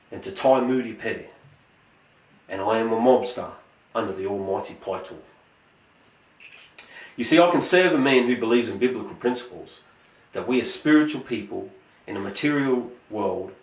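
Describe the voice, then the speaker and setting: A man, giving a prepared, earnest speech in a measured, emphatic way. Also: mid-range voice, echoey room, recorded from a distance, poor audio. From Australia. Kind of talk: prepared motivational speech with scripture quotes.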